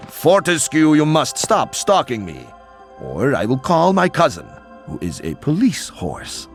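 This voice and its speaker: A man's voice: on the high side, huge pitch swings, unhurried, faint music behind, full character voice. An actor playing a snobbish, flippant aristocrat in a video game, condescending and affected in his speech. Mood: haughty, flippant. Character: snobbish, flippant male aristocrat looking down on commoners. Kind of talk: video game cutscene acting.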